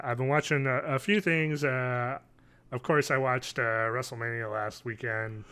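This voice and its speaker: Podcast guy in his 30s, bright mid voice, kinda rambly and dry. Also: mid-range voice, fairly even intonation, normal speed, smooth. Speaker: podcast co-host. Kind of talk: casual chat. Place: home living room, video call.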